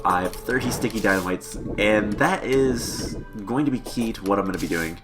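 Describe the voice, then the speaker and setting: A man with a lowish voice talks through a let's-play in a loose, rambling way. His nose sounds a little stuffed up from a cold. Game music plays clearly under his commentary. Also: moderate pace. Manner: relaxed and rambling, with many "uh" fillers. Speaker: gaming channel host.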